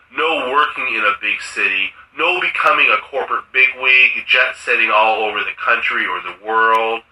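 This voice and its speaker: A man's voice: on the low side, bright, forceful tone. A man, a writer, reading literary short fiction aloud, recorded in a roomy space at a distance from the mic. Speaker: writer reading his own story.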